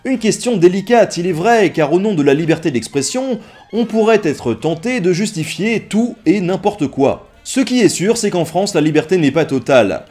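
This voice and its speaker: A man reading a scripted documentary narration in a measured way, posing rhetorical questions. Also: sits high, expressive, darkish tone, soft background music.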